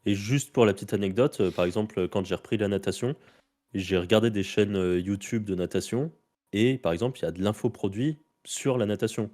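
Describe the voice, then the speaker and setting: A man's voice: on the low side, fairly quick, a little mellow. A man with a French accent co-hosting a podcast, telling anecdotes in casual, slangy speech. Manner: chatty, anecdotal, informal slang. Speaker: podcast co-host.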